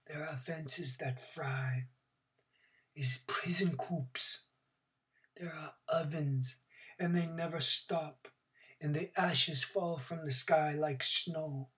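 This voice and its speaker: Reader doing a worn-out old man, grim, barely above a whisper, slow. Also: medium pitch, fairly even intonation, pitched above the performer's own voice. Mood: grim warning. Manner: hushed, breathy whisper. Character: frail old male camp escapee, grim, exhausted.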